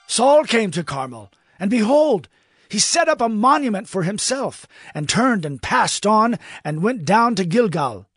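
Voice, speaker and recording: Excitable, high-pitched messenger. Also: male voice, a little slow, breathy edge, no echo, exaggerated voice. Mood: urgent. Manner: animated, declamatory. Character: excitable male messenger bringing a report. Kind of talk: dramatized scripture audio drama.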